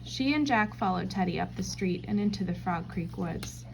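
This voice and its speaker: A woman reading a children's storybook aloud in scripted, expressive narration. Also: fairly low pitch, normal speed, creaky, slight background noise, poor audio.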